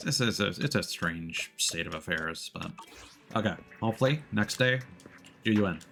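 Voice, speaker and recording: A man's voice: low voice, animated, moderate pace, vocal fry, a bit bright. A man giving casual live game-stream commentary, thinking aloud in a hesitant, rambling way. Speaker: streamer, VTuber.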